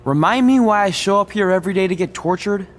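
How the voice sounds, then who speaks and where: A man's voice: high voice, quick pace, close to an everyday voice. An actor playing a cocky, wisecracking young male wrestling trainee in video game dialogue. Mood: indignant, eager. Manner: flippant, wisecracking.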